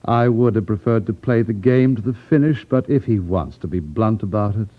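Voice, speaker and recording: A man's voice: lowish voice, moderate pace, lightly acted. Man acting a suave, cold-blooded crime boss, old radio. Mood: polite menace. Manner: genteel, mock-polite, condescending. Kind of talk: 1950s radio drama acting. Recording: muffled vintage 1950s radio recording.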